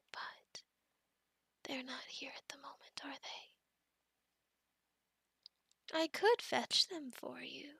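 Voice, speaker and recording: A woman playing a possessive, protective female werewolf in an ASMR roleplay, speaking in a breathy, often whispered voice with formal, soothing, deliberate phrasing. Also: high-pitched, pushed and bright, no room sound, studio quality, exaggerated voice. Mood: possessive, devoted.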